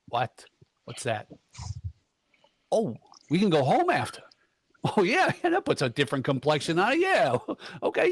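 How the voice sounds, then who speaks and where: Casual, whiny male morgue worker played by an actor, radio drama; high-pitched, dry close sound. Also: dry recording, heavily acted. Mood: pleased, businesslike. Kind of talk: vintage radio drama acting.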